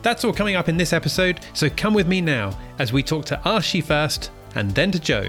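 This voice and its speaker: Upbeat male podcast host, higher voice. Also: animated, brisk, over music. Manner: upbeat, encouraging. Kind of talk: scripted intro and interview.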